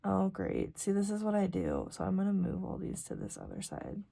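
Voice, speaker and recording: A woman's voice: mid-range voice, fairly even intonation, normal speed, vocal fry, dark tone. A young American woman chatting casually and ramblingly as she works on her planner, informal and self-deprecating, sometimes murmuring to herself. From rural South Dakota, US. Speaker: planner vlogger.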